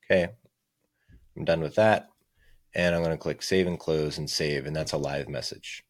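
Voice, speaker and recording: Very deep-voiced man presenting a software demo. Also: fairly even intonation, normal speed, dry recording. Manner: explains step by step. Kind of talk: casual screencast tutorial narration.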